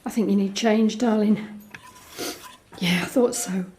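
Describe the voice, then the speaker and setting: Mellow young woman confiding as an actress plays her. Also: medium pitch, fairly even intonation, unhurried, slight background noise, lightly acted. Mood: tender, wistful. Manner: confiding, conversational storytelling that re-enacts dialogue. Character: Alex, young woman, guarded, frank, tender daughter confiding a secret. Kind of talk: dramatic first-person monologue in an audio drama.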